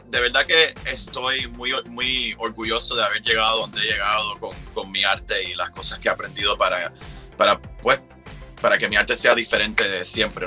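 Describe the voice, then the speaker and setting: A man in his thirties from Cuba with a Cuban accent, chatting as an interview guest, relaxed and quick to laugh. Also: lowish voice. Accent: Cuban Spanish. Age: 30s. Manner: relaxed, chatty, laughs often, many fillers. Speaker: guest, drag performer and singer. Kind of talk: casual interview chat.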